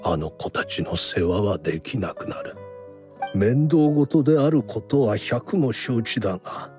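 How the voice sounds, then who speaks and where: A man voicing a weary, dignified older scholar in a game, speaking formally in a measured, resigned way. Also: fairly low pitch, expressive, darkish tone, faint music behind. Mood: earnest, solemn. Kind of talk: Japanese game dub dialogue.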